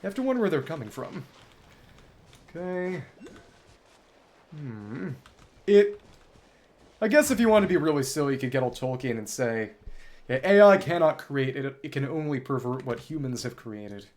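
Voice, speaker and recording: A man's voice: mid-range voice, sing-song, moderate pace, long pauses, a little mellow. A man livestreaming a game, thinking aloud in bookish wording as he chats casually with viewers and wanders between topics. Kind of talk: casual gameplay commentary, chatting with viewers.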